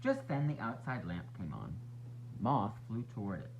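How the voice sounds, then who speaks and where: A man narrating a children's storybook as a teacher would, expressive and instructive for young listeners. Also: lowish voice, moderate pace, highs cut off, a little hiss or hum. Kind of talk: children's read-aloud narration.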